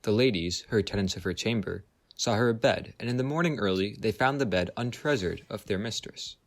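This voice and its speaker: Man as a deferential courtier, lowish voice. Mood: formal, dutiful. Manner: formal, measured. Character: deferential court lord reporting to his ruler. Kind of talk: Shakespearean radio play acting.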